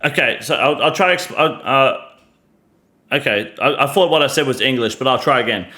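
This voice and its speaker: A man's voice: mid-range voice, expressive, a little fast, bright, forceful tone. An Australian man hosting a casual interview, slightly drunk, hesitant and full of restarts. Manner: hesitant, many restarts and fillers, careful. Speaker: streamer host.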